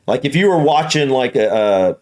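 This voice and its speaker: An American man hosts a reaction video in an easygoing conversational style. His pitch sits in the middle range for a man. He rambles through his opinions, circling back and repeating himself as he goes. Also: expressive, moderate pace, a little mellow. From United States. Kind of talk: casual conversational commentary.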